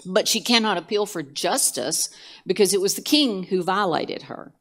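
An older woman co-hosting a church Bible study show, retelling scripture stories in a measured way with some fillers. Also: mid-range voice, fairly bright tone. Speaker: co-host teaching scripture. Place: church studio set, indoors.

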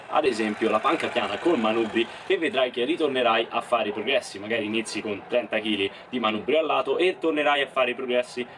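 Young man, fitness vlog explainer, animated delivery, distant mic, background hiss. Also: medium pitch. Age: 20s. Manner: quick and animated, uses English gym terms. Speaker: fitness youtuber. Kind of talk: casual explainer to camera. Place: small indoor room.

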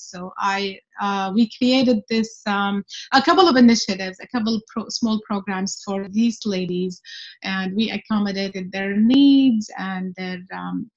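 A middle-aged woman from Syria, a guest speaking earnestly on a video call, with many fillers and restarts. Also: mid-range voice. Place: room at home. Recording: dull lo-fi video call audio.

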